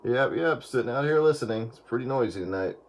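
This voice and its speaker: A man's voice: medium pitch, expressive, fast talker. A man making casual chatter as an operator on a two-way radio. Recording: noisy two-way radio with heavy static.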